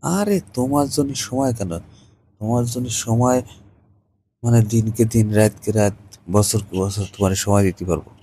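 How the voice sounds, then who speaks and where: Mellow-voiced man chatting on the phone. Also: lowish voice, normal speed, a little hiss or hum. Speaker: caller in a staged phone conversation. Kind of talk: casual flirtatious phone chat.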